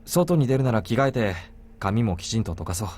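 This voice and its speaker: Very fast-talking, gruffly caring young man. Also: fairly low pitch, sing-song, darkish tone. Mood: caring, firm. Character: protective, gently gruff young man, devoted caretaker. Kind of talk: Japanese visual novel voice acting. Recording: game music behind the voice.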